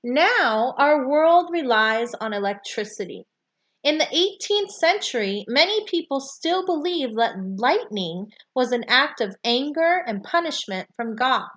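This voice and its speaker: Woman, high lilting voice with lively intonation, children's book read-aloud, deliberate emphasis.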